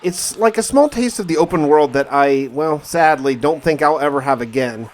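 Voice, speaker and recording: A man's voice: a little high, moderate pace. A man narrating a survival game in character like a diary, musing aloud in a conversational way with questions to himself. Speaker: gaming video narrator and player.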